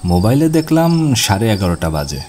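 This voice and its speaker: A man's voice: lowish voice, sing-song, fairly quick, a little mellow, slight background noise. A man reading scripted first-person ghost story narration for an animation. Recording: music bed behind the voice. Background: music.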